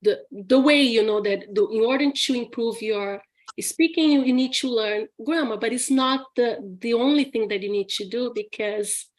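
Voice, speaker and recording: A woman's voice: a little high, normal speed. A woman with a Brazilian accent, an English teacher answering questions in a live Q&A, chatty and laughing often, with many restarts and "okay?" checks. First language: Portuguese. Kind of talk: live Q&A session.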